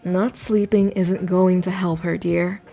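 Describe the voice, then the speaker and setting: A woman playing a caring, gently realistic mother in a radio drama, soothing and matter-of-fact. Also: lowish voice, level tone, measured pace, vocal fry, faint music behind. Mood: concerned.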